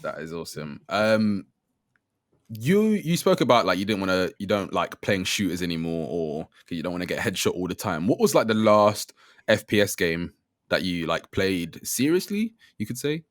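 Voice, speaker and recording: A man's voice: low-pitched, big pitch swings, normal speed, dry recording, studio quality. A man in his twenties hosting a casual games podcast, asking questions and laughing often. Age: 20s. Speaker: podcast host.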